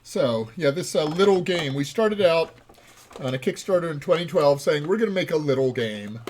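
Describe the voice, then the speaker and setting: Playful man, slightly higher-pitched voice. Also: animated, moderate pace, darkish tone, a little hiss or hum. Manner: playful, punning. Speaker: game developer co-hosting a fundraising livestream. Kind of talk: casual livestream chat.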